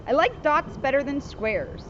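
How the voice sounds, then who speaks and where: An earnest little storybook boy, played by a woman, speaks high and animated, eager to please. The outdoor recording is a bit muffled and noisy. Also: measured pace, exaggerated voice. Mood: eager complimenting.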